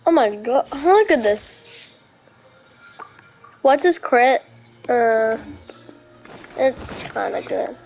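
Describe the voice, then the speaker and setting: A woman's voice: on the high side, expressive, drawn-out words, pauses often, a little mellow, soft background music. A woman giving casual gameplay commentary, musing aloud in short questions as she plays. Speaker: gamer.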